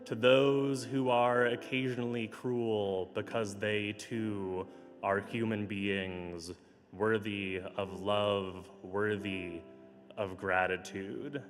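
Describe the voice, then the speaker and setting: A man with a slightly hoarse, lowish voice leads his congregation in gentle prayer. He takes his time, pausing between phrases. The sound carries the long reverb of a large church hall, heard over a livestream. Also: steady tone, soft background music. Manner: slow, measured and gentle. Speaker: minister. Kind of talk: pastoral notes and spoken prayer.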